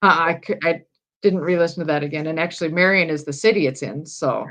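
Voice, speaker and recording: A woman's voice: low-pitched, quick pace, fairly bright tone. A woman from Iowa, a guest on a livestream talking over a video call in an easygoing way, laughing often and giving short replies. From Iowa, USA. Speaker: guest, paranormal investigator. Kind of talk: casual chat on a video call.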